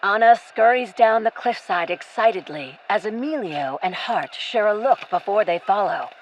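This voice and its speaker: A woman's voice: medium pitch, animated, moderate pace, a little hiss or hum, rough recording. A woman narrating a scripted radio-play adventure as a storyteller. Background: music.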